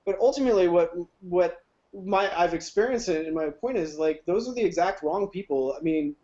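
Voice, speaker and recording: A man with a high-pitched voice chats in a rambling way and keeps restarting his sentences. He laughs easily. The podcast audio is dull and lo-fi. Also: expressive, brisk, warm tone. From Ohio. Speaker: podcast guest. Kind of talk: casual chat.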